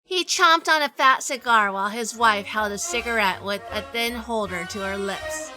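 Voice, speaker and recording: An actress playing a feisty, flirtatious and sardonic gangster's wife in a 1930s-style radio drama. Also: female voice, on the high side, moderate pace, music in the background, lightly acted, poor audio. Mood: wry narrating. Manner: sassy, sardonic. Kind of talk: hardboiled period radio drama dialogue and first-person narration.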